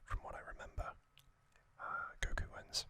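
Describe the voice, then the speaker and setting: A man hosting an ASMR video, whispering a casual, opinionated fan recap with frequent pauses and ums. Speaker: ASMR host.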